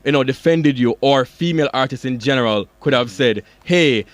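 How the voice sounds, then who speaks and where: A man hosting a live radio interview, calmly summarizing and steering the guest with questions. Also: mid-range voice, moderate pace, no echo. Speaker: radio show host. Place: radio studio.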